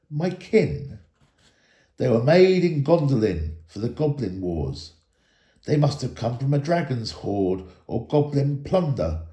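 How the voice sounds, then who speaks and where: A man's voice: medium pitch, dark tone. Man voicing a solemn ancient elf lord, echoey. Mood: solemn, stately. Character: wise, grave ancient male elf lord.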